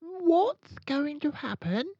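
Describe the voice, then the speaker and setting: Man doing a slow, creaky, curious schoolgirl. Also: extremely high pitch, very animated, mellow, no echo, dull audio, pitched above the performer's own voice, full character voice. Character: indignant, wide-eyed young schoolgirl, curious friend.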